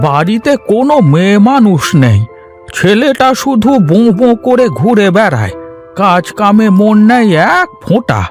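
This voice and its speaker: A man voicing a middle-aged businessman father in a cartoon, with a grumbling, complaining manner. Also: high-pitched. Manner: complaining, animated. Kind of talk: animated moral-story cartoon dialogue.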